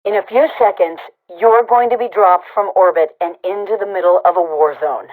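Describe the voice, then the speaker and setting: An actor playing a brisk, no-nonsense female military overseer in a sci-fi shooter game, speaking with clipped authority through a radio comms filter. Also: medium pitch. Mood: encouraging, firm. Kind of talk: scripted game announcements and briefings. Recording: tinny narrowband radio transmission.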